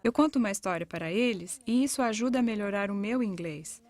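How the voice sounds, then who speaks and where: A woman's voice: mid-range voice, animated, quick pace, a little mellow, no room sound. A woman with a Brazilian accent reading a scripted educational voice-over. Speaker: narrator of an educational parenting video. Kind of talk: scripted voice-over narration, reading testimonials aloud.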